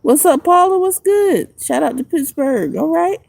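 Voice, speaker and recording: A woman's voice: high-pitched, lively intonation, moderate pace, creaky, darkish tone, dry recording. A woman who is a homeless vlogger and music producer, chatting casually and rambling in slang on a phone livestream outdoors at night.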